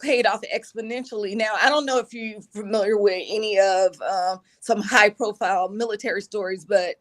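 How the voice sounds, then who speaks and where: A middle-aged woman with a raspy voice, speaking earnestly and reflectively as an interview guest, with many fillers. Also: medium pitch, steady tone, normal speed, fairly bright tone. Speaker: guest, executive communication advisor. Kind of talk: conversational interview.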